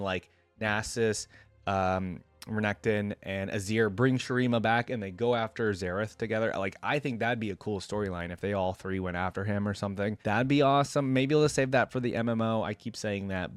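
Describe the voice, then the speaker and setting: A man's voice: mid-range voice, no room sound. A man hosting a reaction video, giving casual, rambling, conversational commentary into a close mic.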